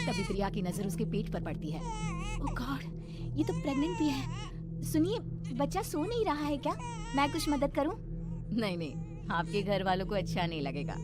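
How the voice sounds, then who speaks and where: A woman narrator reads a scripted horror story. Her delivery is dramatic, with lively, wide-ranging intonation. Music plays clearly behind her voice. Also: lowish voice.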